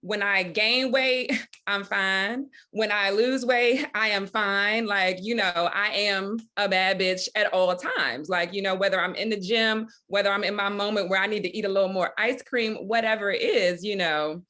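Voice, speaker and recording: A woman hosts a panel discussion in a conversational style. Her voice is bright and punchy at a middle pitch. She sounds affirming and encouraging as she addresses the group. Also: steady tone, moderate pace. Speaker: panel moderator.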